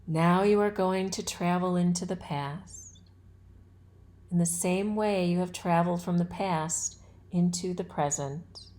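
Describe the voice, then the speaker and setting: A woman leads a guided meditation in a fairly low voice. She speaks very slowly, giving relaxation instructions in short, deliberate phrases with a soothing manner. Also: darkish tone. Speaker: yoga nidra instructor.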